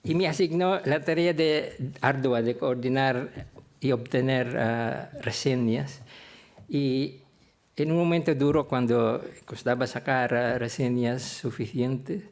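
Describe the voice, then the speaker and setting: Older man, slow and halting delivery. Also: medium pitch, darkish tone. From Philippines. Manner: halting, hesitant delivery with frequent long pauses. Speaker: theology professor. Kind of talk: prepared anecdotal talk recalling a career.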